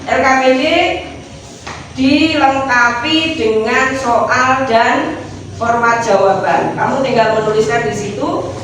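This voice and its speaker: A woman's voice: on the high side, slow pace, a bit bright. Echoey, distant Indonesian woman schoolteacher. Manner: explains step by step, checking understanding with short questions. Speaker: schoolteacher leading a class. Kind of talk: classroom instruction. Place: indoor school classroom. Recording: very echoey, noisy classroom, distant microphone.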